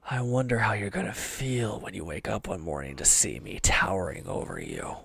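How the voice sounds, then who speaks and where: A man playing a wounded, proud villain in an intimate audio drama, sullen and guarded, curt and halting in a breathy murmur. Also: deep voice, huge pitch swings, a bit bright, no room sound, full character voice. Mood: scornful, menacing.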